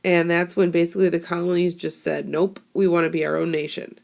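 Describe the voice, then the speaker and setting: A young woman teacher sounds hoarse from a cold, and her voice has a gravelly, crackly edge that sits a little low. She explains in a casual, chatty way, with plenty of fillers, and she records into a tablet microphone. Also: flat delivery, fairly quick, darkish tone. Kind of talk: classroom screencast lesson.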